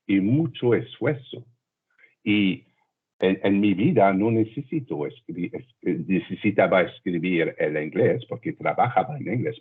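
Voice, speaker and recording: Man, student being interviewed, halting careful Spanish, low husky voice, tinny video-call sound. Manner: halting learner's Spanish, slow self-corrections. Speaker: language student. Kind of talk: casual interview. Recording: tinny phone-like call audio.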